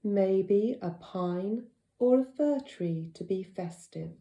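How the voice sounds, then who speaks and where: A woman with a very soft, dark, mellow voice guides a deep relaxation session. She speaks slowly and gently, leaving unhurried pauses between short phrases. The sound is roomy, with a noticeable echo. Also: lively intonation, dull audio. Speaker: yoga nidra teacher.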